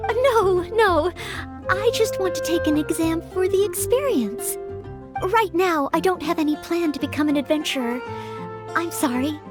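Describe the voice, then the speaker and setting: Very high-pitched, polite young maid girl. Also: normal speed, music in the background. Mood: apologetic, earnest. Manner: polite, earnest, apologetic. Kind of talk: scripted video game character acting.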